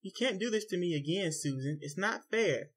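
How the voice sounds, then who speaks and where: A man playing a frustrated husband in a spoken story, speaking low and tight. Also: expressive, moderate pace, slightly rough. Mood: stern. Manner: low and tight, dropping to near whisper. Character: frustrated husband, stern and pleading.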